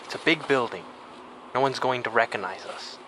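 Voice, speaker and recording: An actor playing an impulsive, gruff risk-taker in an audio drama, speaking in a curt, impatient way. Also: male voice, mid-range voice, moderate pace, bright, forceful tone, slight background noise, close to an everyday voice, poor audio. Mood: tense, irritated.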